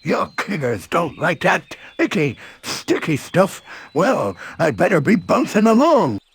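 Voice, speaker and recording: A man voicing a boisterous, bouncy, cocky cartoon tiger with a raspy lisp. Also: sits high, big pitch swings, unhurried, full character voice. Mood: exuberant.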